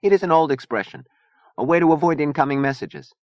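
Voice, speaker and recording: A man's voice: fairly high pitch, fast talker, dry recording, muffled recording, pitched above the performer's own voice, natural voice. A man voicing a fierce, hot-tempered young woman gang leader in an audiobook. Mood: explaining. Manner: combative, profane, imperious. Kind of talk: audiobook character dialogue.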